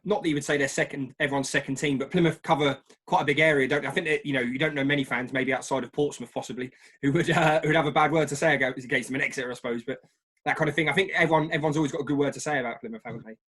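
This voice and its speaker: A man's voice: mid-range voice, steady tone, very fast, fairly bright tone, slightly rough. A man with an English accent hosting an interview podcast in a chatty, polite manner.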